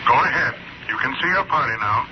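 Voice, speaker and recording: An actor playing a brisk, formal switchboard operator in a 1950s radio drama. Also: medium pitch, expressive, natural voice. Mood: formal, impersonal. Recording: muffled, very noisy old radio broadcast.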